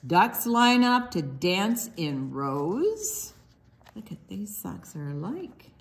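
An older woman reads a picture book aloud for young children. Her pace is extremely slow and deliberate, and her pitch swings widely in a sing-song storytime delivery. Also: very animated, gravelly, slight background noise. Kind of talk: expressive children's read-aloud with asides.

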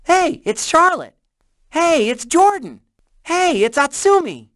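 Tiny cheerful game critter, high swoopy sing-song announcements. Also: female voice, sing-song, slow pace, a bit bright, no room sound, clean studio sound, heavily acted. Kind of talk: short scripted video game announcements.

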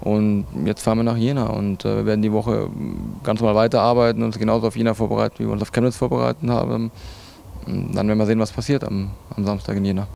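A man's voice: lowish voice, level tone, fast, creaky, noisy background. A man in his thirties answering as a coach in a sports interview, matter-of-fact and hesitant. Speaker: basketball coach. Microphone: handheld interview microphone.

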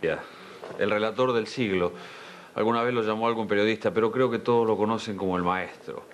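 Argentine man, lowish voice, presenting. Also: steady tone, brisk, slight background noise. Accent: Argentine Spanish. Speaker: television presenter. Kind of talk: spoken tribute to a broadcaster. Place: television studio.

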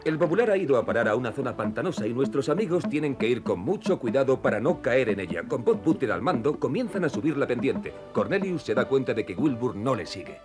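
A male narrator tells a children's cartoon story. His mid-pitched voice is playful and very lively in its rise and fall, with music playing behind. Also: quick pace, hardly any pauses.

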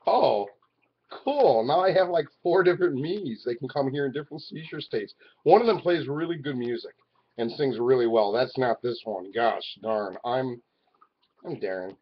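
A man in his forties talking to a webcam in his living room, rambling and swinging from loud outbursts to low mutters. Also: normal speed, slightly rough. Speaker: vlogger. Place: living room at home, indoors. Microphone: webcam at arm's length. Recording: dull lo-fi webcam audio.

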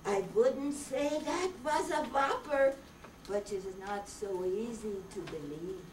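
A woman's voice: a little high, a little slow, natural voice. A woman playing a skeptical, grumbling castaway with stilted, quaint phrasing in a staged reading of an old radio adventure. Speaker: amateur actor in a live radio-play reading. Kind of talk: 1930s children's radio adventure acting, read from script. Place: meeting room stage before seated audience. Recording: roomy, distant camera mic, faint noise.

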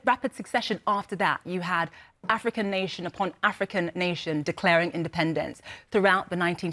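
A woman news anchor, raised in the UK with family from Nigeria, speaking in a measured, reflective way on a live news panel. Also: fairly low pitch.

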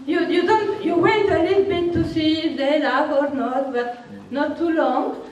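A woman's voice: high voice, steady tone, unhurried, far from the mic, highs cut off, slight background noise. Older Hungarian-accented woman, echoey hall. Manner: laughs often, frank and matter-of-fact. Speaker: workshop speaker. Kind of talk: answering audience questions. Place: large hall with seated audience. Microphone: handheld microphone, recorded from far off.

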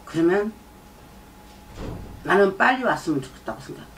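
A woman's voice: mid-range voice, lively intonation, normal speed, slight background noise. A middle-aged woman, a shaman doing a fortune-telling consultation, lecturing and often prompting with "eh?". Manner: lecturing, repeats herself, prompts with "eh?". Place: shrine room with folding screen and drum. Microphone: far camera. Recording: distant mic, faint room ambience.

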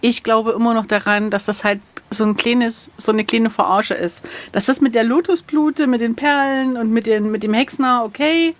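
Female player in a gamebook session, fairly high voice, informal, joking, quick to exclaim. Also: fairly even intonation. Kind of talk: casual playful chat.

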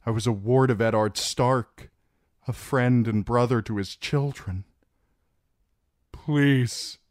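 A man's voice: medium pitch, steady tone, measured pace, dry recording, studio quality, pitched above the performer's own voice, natural voice. A man voicing a broken, terrified young nobleman in an audiobook, pleading in anguish and desperation. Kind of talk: dramatic audiobook character acting.

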